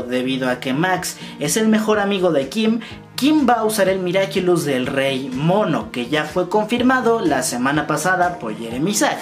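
A man, hosting a video of fan news and theory commentary, talking to camera in a small room. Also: a little high. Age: 20s. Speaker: YouTube host. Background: music.

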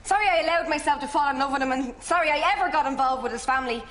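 A woman's voice: high-pitched, very fast, a bit bright, a little hiss or hum. A woman playing a fed-up young girlfriend in a sitcom, curt, sarcastic and indignant. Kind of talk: stage-like sitcom acting.

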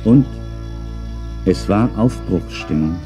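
A man's voice: low voice, lively intonation, takes it slowly. A man reading scripted narration for a children's audio play, as a storyteller. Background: music.

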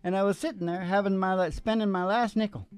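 A middle-aged man hosting a music show, chatting casually and telling rambling, animated stories. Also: high-pitched, fast talker, smooth. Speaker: singer and music show host. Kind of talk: casual chat and storytelling between songs. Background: acoustic guitar.

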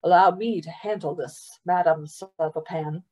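A woman voicing a condescending male governor in a storybook, with a smooth, measured, pompous delivery. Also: warm tone, dull audio. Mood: calm authority. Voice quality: deep, smooth.

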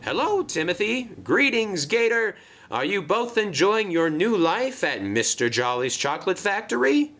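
A man voicing a chatty, naive, excitable female engine in a children's train story, gushing and easily flustered. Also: sits high, unhurried, voice pushed up, heavily acted. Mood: cheerful.

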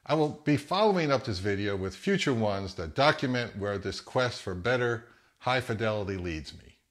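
A man's voice: lowish voice, animated, moderate pace. A man in his fifties giving scripted commentary on products as the host of a review channel.

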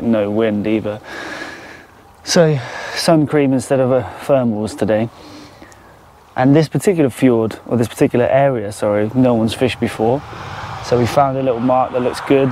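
A man's voice: mid-range voice, dark tone, a little hiss or hum. A man presenting his fishing trip in casual, unscripted talk to camera, outdoors by the water. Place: outdoors by a fjord shore.

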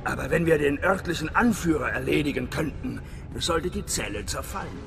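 Theatrical middle-aged spy chief, echoing. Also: male voice, on the high side, expressive, normal speed, gravelly. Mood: conspiratorial, serious. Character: hearty, wry veteran spy station chief, big man. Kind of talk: video game voice acting. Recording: long reverb, game music behind.

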